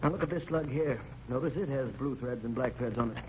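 An actor playing a keen police forensic investigator, explaining crisply in an old-time radio crime drama. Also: male voice, mid-range voice, quick pace, a little mellow, natural voice. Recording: muffled, noisy vintage radio recording.

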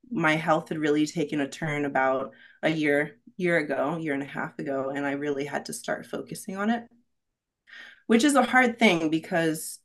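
A woman's voice: sits low, fairly bright tone. A woman being interviewed on a podcast, talking candidly and earnestly, often checking in with "right?". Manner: reflective and earnest, frequent "right?" tags. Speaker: podcast guest, rock climbing guide.

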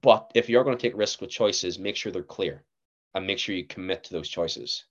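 Lively low-voiced Northern Irish man. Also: moderate pace, lo-fi sound. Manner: lively, acts out what others said. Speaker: actor, podcast guest. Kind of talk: casual interview chat.

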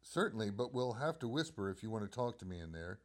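A man's voice: lowish voice, very animated, fast talker. A man playing an obliging telephone company supervisor in a radio drama, explaining things courteously. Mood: informative, businesslike. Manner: courteous, explanatory, crisp phrasing.

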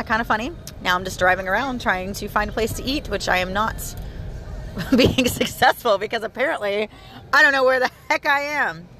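A hoarse, tired-sounding woman in her thirties chatting casually to listeners while driving her car. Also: mid-range voice, pushed and bright. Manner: chatty, bubbly, addresses listeners as "you guys". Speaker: audio blog host. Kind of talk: casual daily vlog chat. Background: car engine and road noise.

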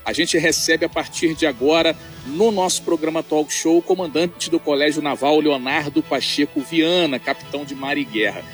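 A man's voice: a little high, moderate pace, hardly any pauses, faint music behind. A male talk show host who welcomes the guest and chats with him, hesitating often with "é". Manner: chatty, hesitant, with many "é" fillers. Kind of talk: radio talk show interviewing.

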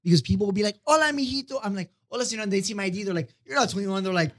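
A man in his twenties co-hosting a casual podcast, telling a story in an animated, sing-song way with repeated phrases. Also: high voice, very fast. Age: 20s. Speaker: podcast co-host. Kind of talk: casual chat, acted-out storytelling. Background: music playing under the talk.

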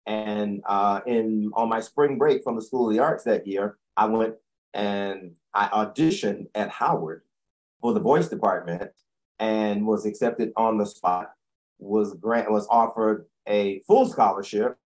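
A man in his sixties with a deep voice, telling a long personal story as an interview guest, often correcting himself as he goes. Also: a little slow, darkish tone, low-quality recording. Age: 60s. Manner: rambling, self-correcting storyteller.

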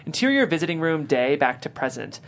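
A man's voice: fairly high pitch, sing-song, a little fast, fairly bright tone, a little hiss or hum. A man hosting a script read and narrating stage directions.

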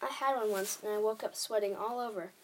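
A woman's voice: medium pitch, brisk, distant mic, slight background noise, close to an everyday voice, rough recording. A woman voicing a curious, easily startled girl in a children's storybook, earnest and questioning. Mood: concerned.

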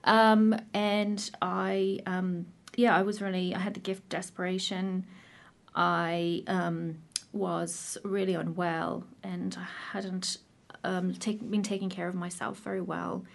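A woman with an Irish accent, probably in her thirties, sharing her personal recovery story in a casual, hesitant way with many pauses and restarts. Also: lowish voice, level tone, creaky. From Ireland.

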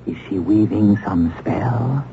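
An actor playing a pompous, scornful gentleman witch accuser in a theatrical old-time radio drama. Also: on the low side, slow, vocal fry. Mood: bitter. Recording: muffled, noisy old radio recording.

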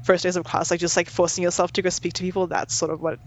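A woman's voice: fairly low pitch, flat delivery, fast. A young woman from South Africa with a South African accent, an engineering student chatting casually in an interview, using lots of fillers and laughing often. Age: young adult. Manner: chatty, many "like" fillers, giggly.

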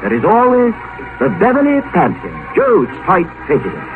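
An actor playing an urbane, witty scholar who narrates in a bookish, elegant way in a radio drama. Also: male voice, high voice, huge pitch swings, moderate pace. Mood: wry amusement. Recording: tinny 1950s radio, music behind.

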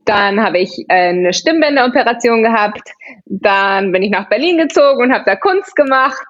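A woman's voice: a little high, lively intonation, normal speed, a bit bright, muffled recording. A woman in her thirties from Barcelona, chatting as an interview guest, laughing often and restarting her sentences. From Barcelona, Spain. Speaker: podcast guest. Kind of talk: casual interview chat.

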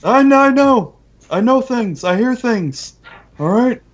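A man voicing a self-important, excitable underworld boss in a tabletop game, emphatic and repetitive. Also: very high voice, unhurried, muffled recording, voice pushed up. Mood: indignant, agitated. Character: excitable boastful male crime boss of the underworld.

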